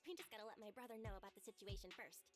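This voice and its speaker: A woman's voice: medium pitch, lively intonation, racing, music in the background, close to an everyday voice. A woman playing an inquisitive, kind young woman in an anime-style video game. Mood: friendly, candid.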